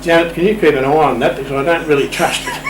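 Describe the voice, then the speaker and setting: A man's voice: fairly high pitch, fast, loud music behind the voice. An older Australian man telling a casual anecdote as an interview guest, in a wry, playful, understated way. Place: radio shack room. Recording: roomy, distant mic, clear background noise.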